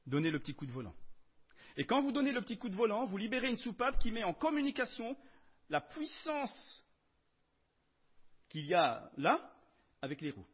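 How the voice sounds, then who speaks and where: A man preaching a sermon in French, emphatic, swinging between loud declarations and soft asides. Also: high-pitched, big pitch swings, normal speed, long pauses, slightly rough, highs cut off.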